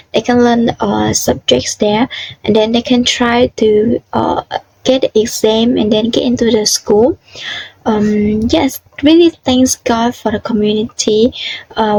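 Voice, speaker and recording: Slow halting woman with a Vietnamese accent. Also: a little high, darkish tone, husky, no room sound, poor audio. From southern Vietnam. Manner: halting, many fillers and restarts; earnest, simple second-language English. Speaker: interviewee. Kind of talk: answering interview questions.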